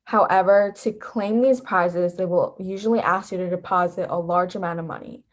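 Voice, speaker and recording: A woman's voice: on the low side, fairly even intonation, normal speed. A teenage young woman presenting a scripted lesson as an instructor. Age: teens. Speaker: volunteer instructor.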